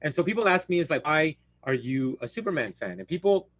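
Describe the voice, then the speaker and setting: A man's voice: a little high, big pitch swings, a little fast, a bit bright, dry recording. Rambling man, dry close sound. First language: Spanish. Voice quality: rambling, self-interrupting, blunt. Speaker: livestream host. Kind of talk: casual live-stream chat.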